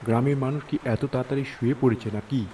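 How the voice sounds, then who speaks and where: A man voices a sure-of-himself young fellow in a horror cartoon. His mid-pitched voice is casual and questioning. He is a little away from the mic, with slight noise behind. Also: steady tone, fast, dark tone, far from the mic, close to an everyday voice. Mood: sceptical, brisk. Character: confident, sceptical young city man.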